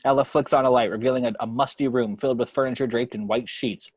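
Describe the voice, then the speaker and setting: A man with a husky voice reading scripted screenplay action descriptions as narrator. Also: mid-range voice, fairly even intonation, quick pace, no room sound.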